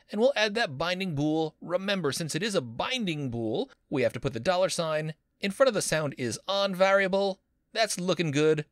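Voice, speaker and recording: A man's voice: on the high side, no room sound, studio quality. A man teaching a screen-recorded coding lesson as a university instructor, upbeat and playful with jokey wordplay.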